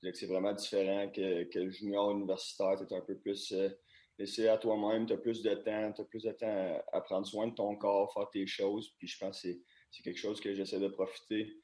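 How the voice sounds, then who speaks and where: A young Québécois man answers questions as a pro hockey player. His voice is low and he talks in a relaxed, colloquial way. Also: a little fast. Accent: Québécois French. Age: young adult. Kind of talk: interview answers.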